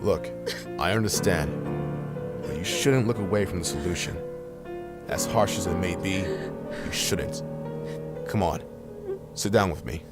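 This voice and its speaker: A man's voice: low voice, unhurried, long pauses, loud music behind the voice. A man playing a grieving woman's mind as a blunt, exasperated, sweary inner voice in an audio drama, confronting her with pointed questions. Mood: subdued, reasoning. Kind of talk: amateur audio drama acting.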